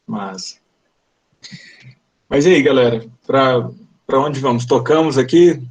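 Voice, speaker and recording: A man's voice: medium pitch, takes it slowly, a little mellow, low-quality recording. A man from Brazil with a coastal Brazilian accent, giving an online university lecture in a rambling way, full of fillers and tag questions. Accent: Brazilian Portuguese, coastal.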